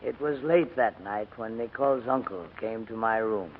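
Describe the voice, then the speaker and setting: A man's voice: on the low side, moderate pace, close to an everyday voice. An actor playing a fatherly, courteous but stubborn elderly Englishman in a 1940s radio drama. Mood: reflective, composed. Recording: muffled old radio recording with music.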